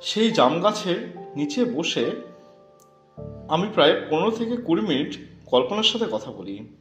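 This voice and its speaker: Slow, expressive male storyteller with echo. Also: on the high side. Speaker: audio story narrator. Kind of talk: scripted first-person horror story narration. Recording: echoey voice over background music.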